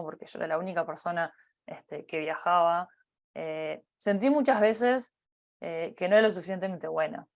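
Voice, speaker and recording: A woman in her thirties with a Uruguayan accent, giving a personal career talk, pausing often with fillers and restarting her phrases. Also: on the low side. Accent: Uruguayan Spanish. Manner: hesitant, many fillers and restarts. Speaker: company partner giving a talk.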